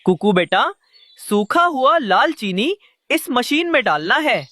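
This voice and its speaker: A woman's voice: a little high, big pitch swings, slow pace, a bit bright, a little hiss or hum, exaggerated voice. A woman voicing a kindly uncle pigeon who teaches patiently in a children's cartoon. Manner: instructive, patient.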